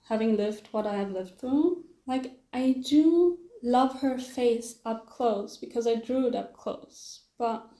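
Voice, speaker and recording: A woman's voice: on the high side, dark tone. Chatty German-accented woman in an echoey room. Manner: chatty and self-deprecating, with frequent fillers and small laughs. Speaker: artist vlogger. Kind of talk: casual voice-over commentary on her drawing process.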